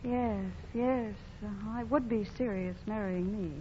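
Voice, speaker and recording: A woman's voice: medium pitch, unhurried, breathy edge. An actress playing a spirited young woman fighting to keep her baby, in a 1940s radio drama. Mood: hurt. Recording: dull old radio broadcast.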